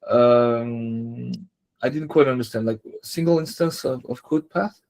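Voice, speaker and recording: Dev guy on a work call, average pitched voice, casual, kinda tentative, working through it as he talks. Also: a little slow, a little mellow. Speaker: software developer on a team. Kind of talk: casual technical discussion. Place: online team call.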